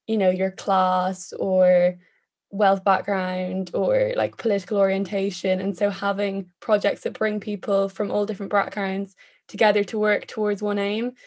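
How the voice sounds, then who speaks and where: A young woman from Ireland speaks as a student guest in a podcast chat. Her delivery is flat and thoughtful, at a middling pitch. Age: young adult.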